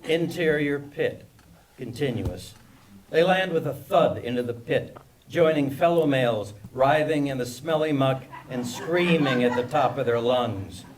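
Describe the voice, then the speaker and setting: A man's voice: mid-range voice, a little slow, a little hiss or hum. A man narrating scripted stage directions at a screenplay table read.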